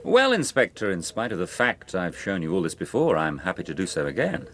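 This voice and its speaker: An actor playing an arrogant, sardonic young country gentleman in a radio drama. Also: low-pitched, wildly swooping pitch, brisk, exaggerated voice. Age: young adult. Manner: smooth talk with barbed sarcasm.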